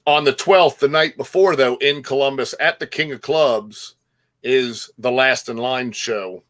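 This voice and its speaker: A man's voice: medium pitch, a little slow, a bit bright. A man co-hosting a live music talk show in a casual, conversational style. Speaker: co-host.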